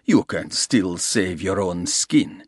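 A man voicing a smug, menacing Russian gangster who talks slowly and mocks his rival. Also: sits low, lively intonation, dry recording, studio quality, exaggerated voice. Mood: gloating. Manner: slow, gloating, mocking. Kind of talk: audiobook character reading.

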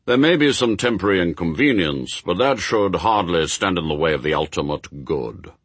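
A man's voice: sits low, animated, normal speed, a bit bright, husky, dry recording, full character voice. A man voicing a pompous, officious heavenly spokesman in a story, formal, insistent and lecturing.

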